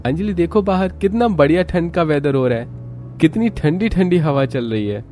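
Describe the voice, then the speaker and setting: A man's voice: fairly high pitch, expressive, normal speed, dark tone, voice pushed up, lightly acted. A man voicing a friendly, attentive young man in a cartoon story, eager and coaxing. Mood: cheerful, reassuring.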